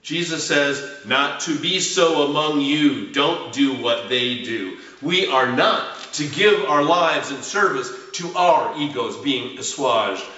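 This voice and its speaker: A man preaching a sermon as a pastor, with emphatic, deliberate phrasing and dramatic pauses. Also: fairly high pitch, pushed and bright. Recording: roomy echo, distant mic, slightly muffled.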